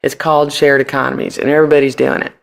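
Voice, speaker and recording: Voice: medium pitch, level tone, racing, vocal fry, smooth. A man being interviewed on a podcast, chatting casually and animatedly in loose slang with free swearing. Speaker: podcast guest.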